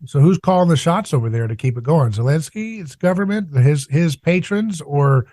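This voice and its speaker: American man, fairly high voice, informal interviewer, questioning manner, very dry close sound. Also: expressive, moderate pace, dark tone, dry recording. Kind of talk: casual political interview.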